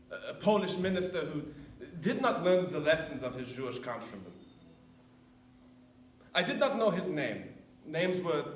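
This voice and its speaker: A man performing a dramatic monologue as a haunted, repentant older former camp officer, speaking solemnly and deliberately with weighty pauses. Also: a little high. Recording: echoey room, distant mic, faint noise.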